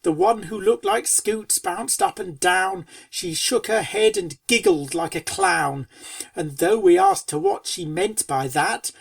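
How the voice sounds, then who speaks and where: A woman reading scripted storybook narration in rhyming verse, with a theatrical, measured cadence. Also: lowish voice, huge pitch swings, vocal fry, dry recording, distant mic. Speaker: fan fiction reader and narrator.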